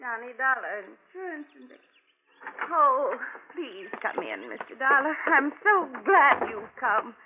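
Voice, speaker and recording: An actress playing a frightened, pleading American wife in a 1950s radio drama. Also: female voice, sits high, big pitch swings, takes it slowly, full character voice. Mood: relieved, distressed. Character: frightened, distraught wife of a missing man. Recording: tinny, faintly noisy 1950s radio recording.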